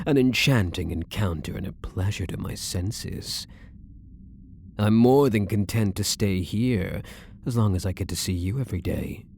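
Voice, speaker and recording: A man's voice: lowish voice, gravelly, darkish tone, slight background noise. A man playing a possessive, lovestruck elf prisoner in an intimate roleplay, teasing and theatrical, with doting endearments. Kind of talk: intimate ASMR roleplay acting.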